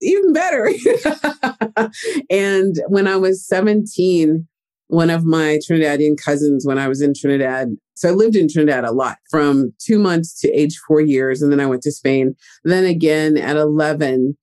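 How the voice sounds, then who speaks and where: Chatty young guy telling his story in an interview, mellow tone. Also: a little high, moderate pace. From United States. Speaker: guest. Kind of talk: casual interview talk.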